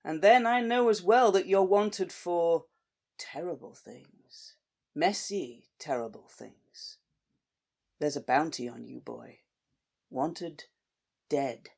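A man voicing a big, calm middle-aged sheriff who speaks in a slow, measured drawl with quiet menace. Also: fairly high pitch, creaky, full character voice.

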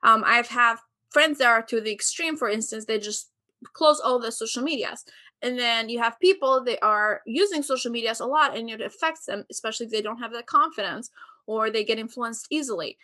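Bright-voiced woman interviewer from Albania. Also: on the high side, a little fast. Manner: earnest, asks long rambling questions. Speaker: interview host. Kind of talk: conversational interview.

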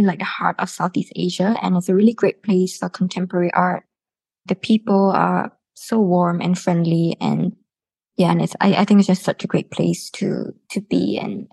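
A woman from Singapore chats casually as an interview guest. Her voice is husky with plenty of vocal fry, at a middling pitch, recorded close and dry. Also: a little mellow, no room sound. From Singapore, born in Hong Kong. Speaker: podcast guest, art collector and publisher.

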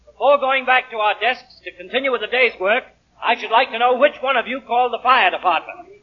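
An actor playing a pompous, speechifying company boss in a 1940s radio comedy. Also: male voice, very high voice, fairly quick, narrow, tinny audio. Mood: brisk, official. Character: pompous, brisk company boss addressing his staff.

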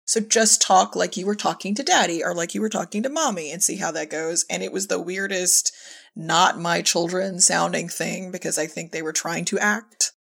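A middle-aged woman with a husky, gravelly voice telling anecdotes in a casual interview as a director, animatedly quoting herself and others. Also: on the low side, normal speed. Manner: animated storyteller, acts out quoted remarks. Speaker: podcast guest, audio drama director.

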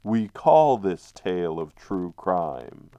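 A man narrating an old-time radio drama from a script in a dramatic, deliberate, ominous manner. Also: low voice, very animated, dark tone, no room sound, dull audio. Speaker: narrator and announcer. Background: music.

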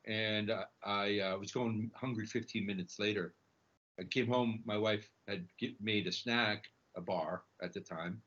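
A middle-aged man from Chile telling his own story conversationally as a guest, halting and repeating his words. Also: fairly low pitch, fairly bright tone. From Chile, emigrated to the United States. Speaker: podcast guest, snack bar entrepreneur. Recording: dull, lo-fi audio.

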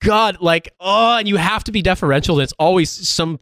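A man co-hosting a podcast, telling profane, animated stories in casual chat. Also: high voice, fairly quick, no echo, clean studio sound.

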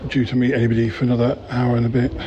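A male podcast host with a croaky voice, chatting casually to listeners while waiting for a train. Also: mid-range voice, flat delivery, rapid-fire, crowd noise. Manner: chatty, rambling asides. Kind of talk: casual vlog-style update to listeners. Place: underground train platform. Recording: muffled recording in a busy station.

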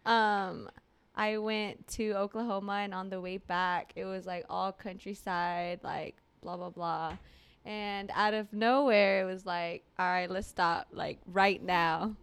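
A woman's voice: mid-range voice, slow pace, clear, resonant tone. A young woman guest chatting casually on a podcast, telling a story with swearing and acted-out quotes. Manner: animated, swears, quotes others in her stories.

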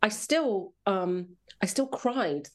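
A woman's voice: medium pitch, lively intonation, takes it slowly. A woman from rural Devon with an English accent, chatting reflectively and casually as an interview guest, with a self-deprecating manner. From rural Devon, England. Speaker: interview guest, children's drama commissioning editor.

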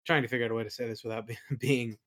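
A man with a medium-pitched voice talks casually as a guest in an interview. He thinks aloud as he answers, hesitating and feeling out his wording. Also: fairly even intonation, racing, fairly bright tone. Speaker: interview guest, audio play creator.

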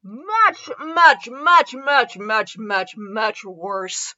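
A woman hosting a podcast with casual, humorous review chat, rambling and halting as she goes, often giggly. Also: fairly high pitch, very animated, sharp tone, lo-fi sound. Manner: giggly, frequently breaking into laughter; rambling, halting self-interrupted delivery. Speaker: podcast host.

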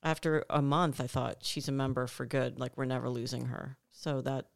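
A woman from the gym staff talks in a casual podcast chat, with a gravelly yet smooth, resonant voice. She tells her story in a chatty way. The sound is dry and close. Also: a bass voice, fairly even intonation, normal speed, no room sound.

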